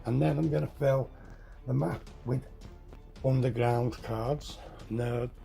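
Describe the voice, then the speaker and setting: A hoarse man getting over a cold walks through a tabletop game setup, halting, correcting himself and clearing his throat. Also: mid-range voice, steady tone, dark tone, soft background music. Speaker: tabletop game tutorial host. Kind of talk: casual step-by-step game setup walkthrough.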